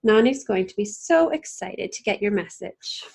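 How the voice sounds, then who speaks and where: A woman voicing a patient, encouraging mother in a children's storybook, with a gentle, coaxing manner. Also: mid-range voice, animated, normal speed, vocal fry, breathy edge.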